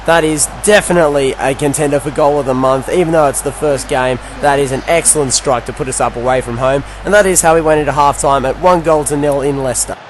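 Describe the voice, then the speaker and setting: A man with a croaky, worn voice gives a casual play-by-play recap of a video game football match. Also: fairly high pitch, steady tone, quick pace, few pauses, a little hiss or hum. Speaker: gaming commentator.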